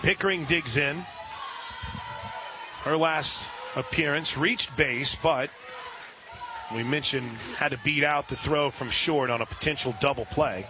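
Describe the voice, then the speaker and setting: Loud outdoor ballpark noise, male radio sportscaster, slightly high-pitched voice, live softball play-by-play. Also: measured pace, pauses often. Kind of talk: live softball play-by-play commentary with sponsor reads. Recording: loud outdoor stadium ambience. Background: loud stadium ambience.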